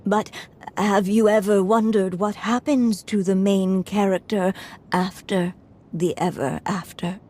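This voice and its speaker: An actress voicing Anya, a frail, aging writer in a game cutscene, speaking softly and slowly with a breathy edge. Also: female voice, mid-range voice, fairly even intonation, slight background noise, close to an everyday voice. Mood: wistful. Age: older. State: weak, coughing. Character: old woman writer Anya, frail, wistful, resolved. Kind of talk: story cutscene voice acting. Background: soft music.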